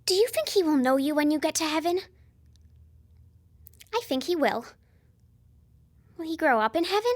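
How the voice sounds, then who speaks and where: A woman's voice: high-pitched, lively intonation, moderate pace, pauses often. An actress playing an earnest, curious young girl in a radio drama, asking things hesitantly. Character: excitable young British niece, earnest and curious little girl.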